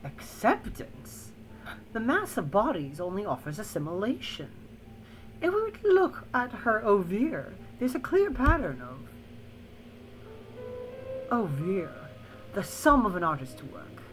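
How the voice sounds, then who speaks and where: A woman's voice: medium pitch, big pitch swings, slow, pauses often, poor audio. A woman voicing a pompous, argumentative art critic, a man in his fifties, in a visual novel. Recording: loud music over the voice.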